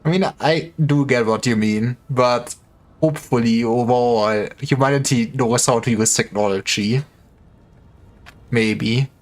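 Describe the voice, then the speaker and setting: A man's voice: mid-range voice, measured pace. A man livestreaming games, chatting casually in a joking, rambling way with some stammering. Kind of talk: casual gaming livestream chat.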